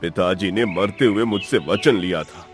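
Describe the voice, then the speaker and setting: Guy playing a cold scornful husband in a cartoon, lowish voice, bit of music behind. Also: moderate pace, lightly acted. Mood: cold resentment. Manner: stern, contemptuous. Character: arrogant, cold husband and father scorning his family. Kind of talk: Hindi cartoon story dialogue.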